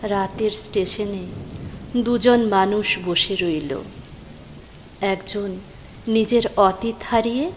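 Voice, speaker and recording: A woman playing a stranded, concerned young woman who narrates her own mystery story, emotive and suspenseful, with deliberate pauses. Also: medium pitch, slight background noise, close to an everyday voice. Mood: stunned, wistful. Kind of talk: scripted first-person dramatic story narration. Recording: voice over rain and thunder ambience.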